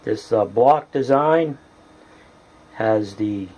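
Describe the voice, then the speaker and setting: A man presenting a casual hands-on tutorial as a hobbyist, speaking in a halting, deliberate, plain-spoken way. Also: fairly low pitch, a little mellow. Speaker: hobbyist presenter demonstrating radio gear.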